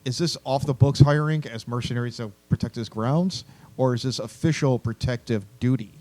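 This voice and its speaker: A man's voice: mid-range voice, a little fast, warm tone. Tentative man in casual panel talk. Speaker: podcast panelist and story critic. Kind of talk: casual group discussion.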